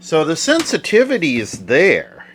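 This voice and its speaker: A man's voice: fairly high pitch, huge pitch swings, unhurried, very noisy. A man giving unscripted hands-on repair commentary, muttering short remarks as he thinks aloud. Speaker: radio repair hobbyist and video host.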